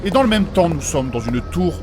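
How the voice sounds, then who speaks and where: A man's voice: fairly high pitch, expressive, a little fast, over music, lightly acted. A man playing a courteous, earnest adventurer who leads his companions in a comic fantasy audio drama, speaking formally and theatrically. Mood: indignant.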